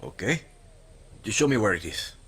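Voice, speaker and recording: An actor playing a blunt ex-military guerrilla recruit who speaks in short, dry lines in a video game. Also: male voice, lowish voice, lively intonation, moderate pace, fairly bright tone, breathy edge, exaggerated voice. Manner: terse, dry questions. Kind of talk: video game cutscene acting. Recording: game audio with music under.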